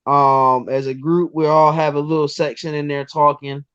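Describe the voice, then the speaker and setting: A man in his twenties, a guest on a sports podcast, talking casually and excitedly with lots of restarts and ums. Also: fairly high pitch, moderate pace, clear, resonant tone, no room sound, muffled recording. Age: 20s.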